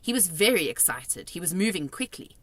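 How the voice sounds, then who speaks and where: A woman reading a children's story aloud as narrator, expressive and deliberate, with a dramatic storytelling manner. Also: fairly low pitch, no room sound. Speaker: storybook reader.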